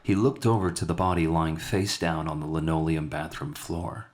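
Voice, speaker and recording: Deep voiced guy narrating a dark dramatic short story, scripted read. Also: steady tone, moderate pace. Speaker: author narrating his own story.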